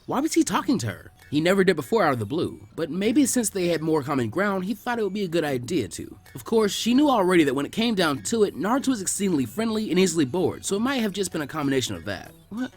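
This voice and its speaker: Male fiction narrator, expressive and quick, slightly high voice, faint music underneath. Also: breathy edge. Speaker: narrator of fan fiction readings.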